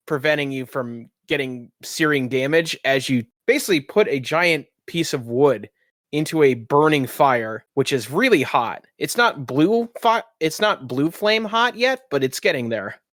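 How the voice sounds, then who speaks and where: A man's voice: fairly high pitch, animated, moderate pace, a bit bright, no echo, clean studio sound. A man narrating a tabletop roleplaying game as game master, improvising as he goes.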